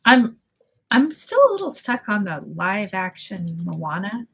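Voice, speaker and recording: A woman's voice: mid-range voice, big pitch swings, measured pace, vocal fry, a bit bright, slightly rough. A woman chatting casually as a panelist, offhand and dryly nonchalant. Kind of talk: casual chat.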